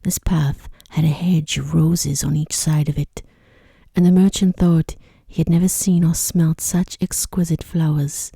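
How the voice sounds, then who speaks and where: A woman narrating an ASMR sleep story in a soft, breathy voice, often near a whisper, close to the microphone. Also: low voice, steady tone, unhurried, no room sound.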